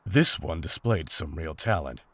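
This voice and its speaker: A man's voice: a bass voice, lively intonation, no echo, exaggerated voice. A man playing a seasoned, honorable monster hunter in a fantasy drama, with formal, restrained, serious speech. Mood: measured. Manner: formal, measured, serious wording. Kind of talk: fantasy audio drama acting.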